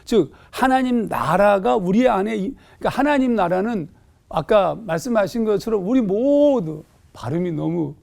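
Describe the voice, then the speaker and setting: A male pastor talks through a scripture passage on a studio panel, pausing on fillers as he thinks. His voice sits high and has a gravelly edge. The tone stays mellow. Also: expressive. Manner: thoughtful, explanatory, with hesitant fillers. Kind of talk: panel discussion explaining scripture.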